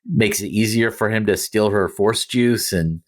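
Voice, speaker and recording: Man, slightly low voice, podcast panelist, casual opinionated chat, halting phrasing.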